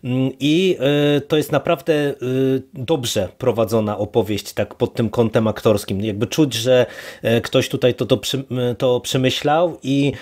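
A man talks as a podcast co-host in a casual review discussion. His pitch sits in the middle of the male range. He phrases things wordily and hesitantly, circling toward his point. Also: a little slow, darkish tone.

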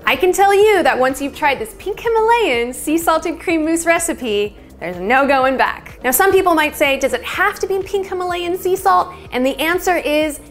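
Bright, upbeat woman in a shop kitchen. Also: very high-pitched, lively intonation, normal speed, hardly any pauses, soft background music. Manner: upbeat, enthusiastic, chatty. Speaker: bubble tea shop owner and host. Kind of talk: cooking tutorial to camera. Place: small shop kitchen, indoors.

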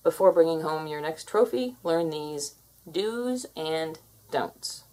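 A woman's voice: deep voice, expressive, slow, a little mellow. A woman voicing a confident, bossy alpha cat who lectures kittens, in a theatrical storybook read-aloud style. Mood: sly, instructive.

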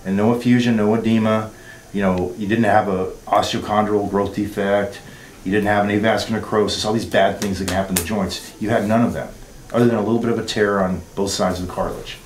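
A man's voice: on the low side, fairly even intonation, fast talker. A middle-aged man, a dentist, questioning a patient in a clinic treatment room and explaining findings with tag questions and clinical terms. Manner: leading, uses tag questions and jargon. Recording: echoey clinic room, faint hiss.

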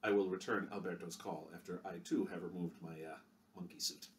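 A man voicing a gentle, towering former boxer with an Italian accent, speaking slowly and quietly. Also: sits low, fairly even intonation, far from the mic, pitched below the performer's own voice, close to an everyday voice. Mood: dry. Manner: slow, quiet, halting.

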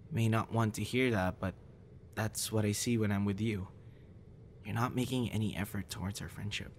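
A young man acting a busy, guarded friend in an intimate audio roleplay, with halting, stammering delivery. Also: lowish voice, slight background noise, natural voice.

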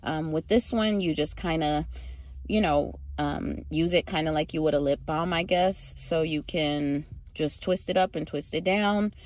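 A young woman chatting casually to her phone camera from inside a parked car, relaxed and full of fillers, with a lilt. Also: sits low, measured pace, dry recording. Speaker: vlogger. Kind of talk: casual vlog talk to camera. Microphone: phone camera at arm's length.

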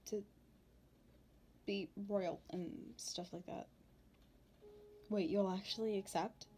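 A woman voicing a shy young demon princess in an ASMR roleplay, talking informally and haltingly to the listener. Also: mid-range voice, steady tone, darkish tone, a little hiss or hum, natural voice. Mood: hesitant, nervous. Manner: hesitant, halting, informal with long pauses. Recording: background music.

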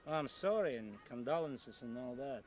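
An actor playing a jovial, foul-mouthed middle-aged Russian gangster with a boisterous, teasing manner and a Russian accent. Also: male voice, mid-range voice, animated, over loud music, close to an everyday voice. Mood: mock grievance. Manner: boisterous, teasing, drawn-out delivery. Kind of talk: live-action game cutscene acting.

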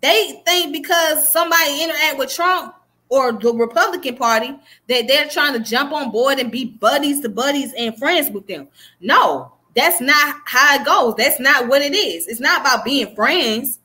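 Bright, high Southern woman lecturing. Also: moderate pace. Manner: emphatic, preachy, keeps checking in with "okay?". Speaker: livestream history host. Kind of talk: casual livestream lecture. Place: small room indoors. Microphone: webcam close up.

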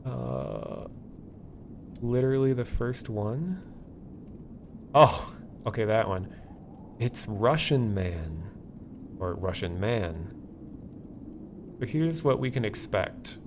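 A man hosting a livestream, explaining things casually and off the cuff in a halting, thinking-aloud way. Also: fairly low pitch, big pitch swings, background noise. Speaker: livestream host. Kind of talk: casual live explainer, unscripted.

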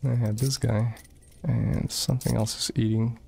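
A man's voice: medium pitch, flat delivery, moderate pace, gravelly, darkish tone, soft background music. A young man from Moldova, chatting casually while streaming a game, swearing freely and reading chat aloud. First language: Russian.

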